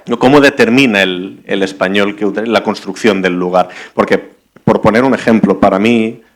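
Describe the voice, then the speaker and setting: Deep-voiced Catalan moderator, meandering. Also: male voice, fairly quick. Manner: meandering, many restarts and tag questions. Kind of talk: moderating a literary panel. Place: library event hall.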